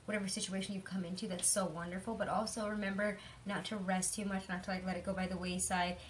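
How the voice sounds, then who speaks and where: A young woman with a hoarse voice giving a chatty, rambling tarot reading, full of fillers. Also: mid-range voice, little pitch movement, quick pace, slight background noise. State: hoarse from illness. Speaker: tarot reader. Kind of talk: casual tarot reading monologue.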